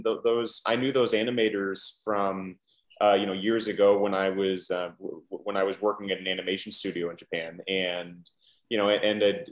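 A film director on a video call, talking in halting bursts full of restarts and fillers. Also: male voice, lowish voice, reverberant.

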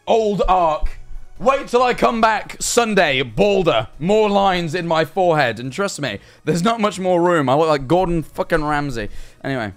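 A young man streaming from a small room, high-voiced and lively, swinging up and down as he reacts. He swears freely and mocks himself. Faint music plays underneath. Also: moderate pace. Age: young adult. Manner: animated, sarcastic, swears often. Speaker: livestreamer. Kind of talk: reading and reacting to chat. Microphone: headset-style studio mic, seated at desk.

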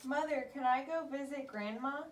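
Woman acting a sweet fairy-tale girl, childlike, earnest, raised pitch, distant roomy sound. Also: moderate pace, close to an everyday voice. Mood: eager. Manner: earnest, wide-eyed, childlike. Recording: distant, echoey room.

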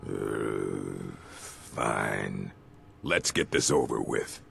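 An actor playing a surly, proud orc chief in a fantasy video game, gruff and resentful. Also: male voice, very deep voice, expressive, drawn-out words, a bit bright, slight background noise, heavily acted. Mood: irritated.

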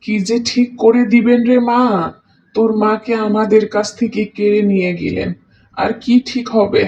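A poor farmer and father of two , acted out by a voice actor for a cartoon. He sounds weary and fretful, with a middling pitch, on a slightly dull, lo-fi recording. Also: flat delivery, moderate pace, creaky, a little mellow, natural voice. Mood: worried, resigned. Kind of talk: cartoon voice acting.

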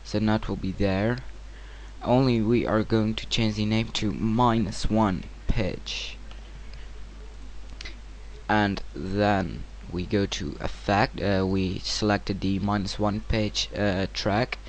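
Man, low voice, slow hesitant tutorial talk, non-native-sounding English, noisy background. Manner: hesitant, informal. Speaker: tutorial presenter. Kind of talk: casual software tutorial narration. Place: home computer screen-recording voice-over. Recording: clear steady background noise.

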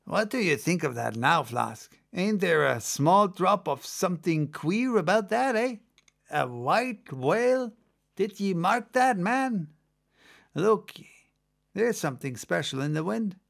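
A man playing an excitable second mate on a sailing ship, spinning a yarn in a dramatic audiobook reading. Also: sits high, a little slow, creaky, exaggerated voice. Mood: conspiratorial. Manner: conversational, exclamatory storytelling.

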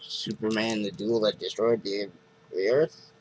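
Slow, low-voiced man showing comics. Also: steady tone, a little hiss or hum, poor audio. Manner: slow, plain listing of items. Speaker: comic collector vlogger. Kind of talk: casual show-and-tell vlog. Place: small bedroom, indoors. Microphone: webcam at close range.

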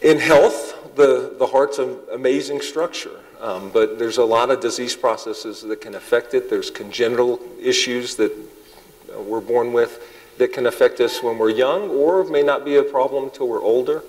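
Man, loud voice, halting public lecture, lots of ums, big echoing hall. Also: mid-range voice. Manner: halting, many ums and restarts. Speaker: cardiologist giving a public talk. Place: large hall with audience. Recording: long hall reverb.

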